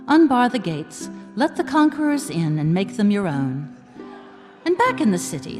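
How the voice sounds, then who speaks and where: A woman's voice: medium pitch, lightly acted. A woman narrating a comic fantasy radio play as a wry, grand storyteller. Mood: warmly dramatic. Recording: live stage in reverberant hall, music underneath.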